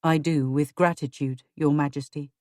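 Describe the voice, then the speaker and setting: A woman voicing a worried, steadfast Tudor nobleman who speaks low and solemnly. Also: steady tone, moderate pace, darkish tone, no room sound, clean studio sound, close to an everyday voice. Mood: deferential.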